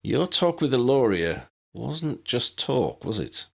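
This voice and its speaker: A man's voice: mid-range voice, big pitch swings, slow, vocal fry, breathy edge, voice pushed up, exaggerated voice. A man voicing an earnest, serious young male hero in an audiobook, speaking plainly.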